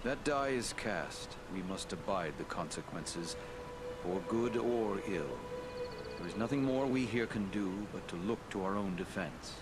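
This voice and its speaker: A wise elven lord, low in voice and solemn in manner. The phrasing is formal and dignified, set over a loud fantasy game score. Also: male voice, animated, measured pace, over loud music. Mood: grave, dignified. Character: wise, grave elven lord counselling heroes. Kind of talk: fantasy game cutscene acting.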